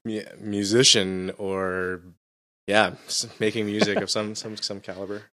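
A pastor being interviewed on a podcast answers casually, with a low voice and a self-deprecating sense of humor. He often restarts his sentences as he works out what he wants to say. His delivery stays relaxed and unhurried.

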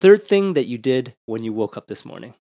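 A man hosts an interview podcast, moving briskly through quick questions with an upbeat, easygoing manner. His voice sits in the middle range. It is recorded dry and close, with almost no room sound. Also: medium pitch, very animated, warm tone, no room sound. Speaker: podcast host. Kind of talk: interview podcast with a rapid-fire question round.

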